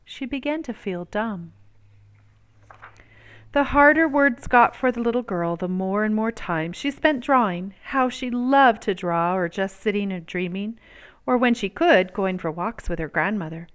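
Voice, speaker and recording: A woman reading a picture book aloud as a storyteller, with expressive, measured and emphatic phrasing. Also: mid-range voice, clear, resonant tone. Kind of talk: expressive read-aloud narration.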